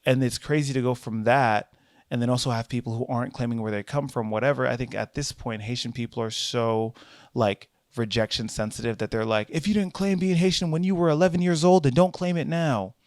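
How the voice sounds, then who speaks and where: A young American man hosting a solo talk show, rambling and animated, often jumping into high mocking voices to quote people. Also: brisk, no echo, clean studio sound. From United States. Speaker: podcast host. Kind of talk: casual solo commentary.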